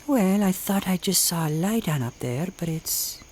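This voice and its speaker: A woman playing a kindly, motherly neighbor in a radio drama, gentle and neighborly. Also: lowish voice, lively intonation, moderate pace.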